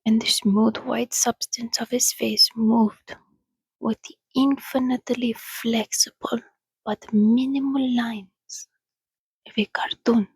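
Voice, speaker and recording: A woman reading a story aloud in a soothing, breathy, soft-spoken ASMR style, often slipping into a whisper, close to the microphone. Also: a little high, no echo, poor audio. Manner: soothing, unhurried. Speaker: reader.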